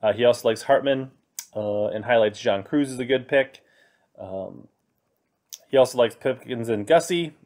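A man's voice: medium pitch, normal speed, darkish tone. A man in his thirties hosting a fantasy fishing podcast, chatting casually through his picks with plenty of fillers. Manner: conversational, many fillers, reading from web pages. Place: indoor room, screen recording with webcam inset.

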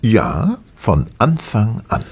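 An actor playing a shrewd, self-assured gentleman detective in a German audio drama, with a polite, probing, superior manner. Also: on the low side, huge pitch swings, slow pace, gravelly, breathy edge, heavily acted. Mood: politely coaxing.

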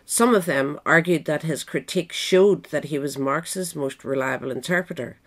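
A woman reads a nonfiction essay as an audiobook narrator. Her voice sits at a low pitch for a woman, and her delivery is measured and formal, following a written text. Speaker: audiobook reader. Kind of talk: scripted narration of a political history essay.

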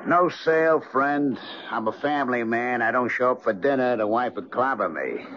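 A man playing a brash working-class cabbie, streetwise and full of slang. His pitch is middling, and the sound is a dull old radio drama broadcast. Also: moderate pace, a bit bright, natural voice. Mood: brash, casual. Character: brash, haggling working-class male cab driver. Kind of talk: 1970s radio drama acting.

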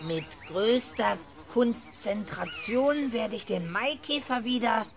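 A cocky little boy leading his gang in a children's radio play. The voice is high and boyish, with grand, bossy announcements. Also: expressive, slow pace, gravelly, full character voice. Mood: showy, boastful. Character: boastful, eager little boy showman and gang leader.

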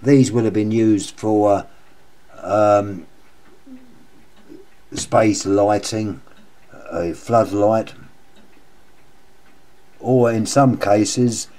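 An older man with a sore, croaky throat rambles through a casual show-and-tell of his lamps, doubling back on his own words. His voice is dark and mellow. He talks at home, into a camera mic, while he stays off screen. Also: on the low side, fairly even intonation, drawn-out words, pauses often, rough recording. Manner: rambling, repeats himself, wry complaints. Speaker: lamp collector vlogger. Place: indoors at home, table of lamps.

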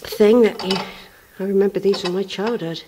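A woman's voice: mid-range voice, moderate pace, noisy background. A woman with a West Country English accent giving a casual running commentary while filming a country church, chatty and trailing off as she goes. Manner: chatty, talks to herself, trails off. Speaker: vlogger touring a church. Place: outdoors by a country church, then inside it. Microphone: handheld camera mic.

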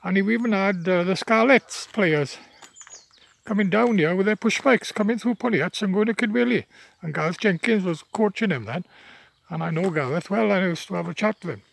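A man's voice: sits high, fairly quick, vocal fry. A man in his seventies with a Welsh accent, speaking Welsh as his first language, chatting while out on a country walk and tired from walking. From Wales. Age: 70s. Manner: chatty, plain-spoken, many fillers and restarts. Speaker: local walker filming his path. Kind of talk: rambling walking vlog. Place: outdoors on a rural path by wetland. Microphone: handheld phone camera, speaker off-screen.

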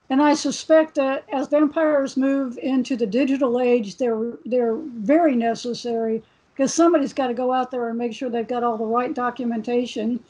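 A woman on a panel speaking from home in a relaxed way, with wry asides. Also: sits high. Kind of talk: casual panel discussion. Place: home room. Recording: dull lo-fi video call.

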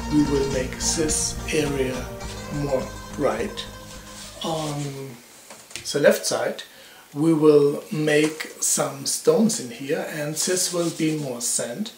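A man in his forties presenting his paintings in a casual vlog, speaking in a halting, unhurried way. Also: on the high side, a little mellow. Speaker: hobby painter presenting own artwork. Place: indoor room at home. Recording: roomy echo with music behind. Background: music.

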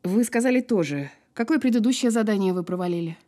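Actress as a mellow, guarded, sardonic woman. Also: mid-range voice, expressive, natural voice. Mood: cool, teasing. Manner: dry, sardonic, unhurried sparring. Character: wry, guarded woman who survived a fall. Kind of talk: naturalistic audio drama acting.

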